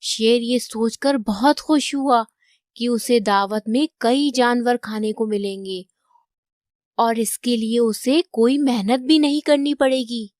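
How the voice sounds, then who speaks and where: A woman's voice: a little high, no echo, studio quality. A woman narrating a scripted children's moral story.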